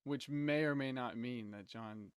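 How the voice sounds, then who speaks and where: A woman's voice: extremely low pitch, measured pace, clean tone, no room sound, clean studio sound. A woman chatting casually in a group discussion.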